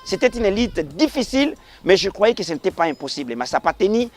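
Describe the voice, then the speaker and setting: A middle-aged pastor from the Congo Central region speaks in a fairly high voice. He talks earnestly, pushing up for emphatic points and sinking low and quiet when he blesses people. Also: big pitch swings, quick pace, soft background music. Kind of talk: formal interview remarks, preacherly.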